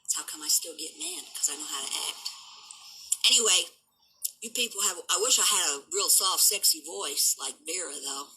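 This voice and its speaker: Brief: woman, 70s, rough and hard-edged, low-set pitch, taunting, boastful vlog rant. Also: normal speed, sharp tone, slight background noise, rough recording. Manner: defiant, boastful, taunting critics. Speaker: vlogger and dog breeder. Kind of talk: unscripted rant to camera.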